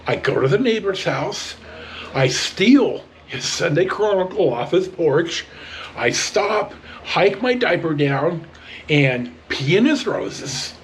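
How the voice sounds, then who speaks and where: An American man telling casual personal stories to camera as a vlog host, in an animated, halting, rambling way. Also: fairly high pitch, slight background noise. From northern California, United States.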